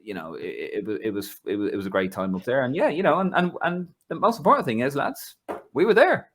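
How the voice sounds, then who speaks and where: A man from Ireland hosting a casual football podcast over a video call, an animated storyteller. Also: medium pitch, fairly quick. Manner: animated storyteller, acts out voices. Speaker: podcast host. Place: home in London.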